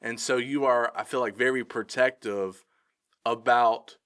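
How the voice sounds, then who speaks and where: A man's voice: mid-range voice, steady tone, unhurried, a bit bright, clear, resonant tone. A man co-hosting a casual podcast, affirming his partner and asking leading questions. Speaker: co-host.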